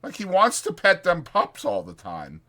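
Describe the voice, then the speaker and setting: A man voicing an exasperated but protective ranch hand in a dramatized audiobook, blunt and scolding, with a rough folksy drawl. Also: sits high, huge pitch swings, normal speed, bright, forceful tone, voice pushed up, heavily acted. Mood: agitated storytelling. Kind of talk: dramatized audiobook character acting.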